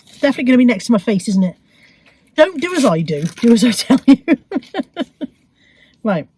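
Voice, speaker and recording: A woman with a cold, sounding croaky and sniffly, chatting casually to viewers about her shopping with self-mocking asides. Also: fairly high pitch, big pitch swings, fairly quick, a little mellow. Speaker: vlogger. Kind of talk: chatty shopping haul vlog.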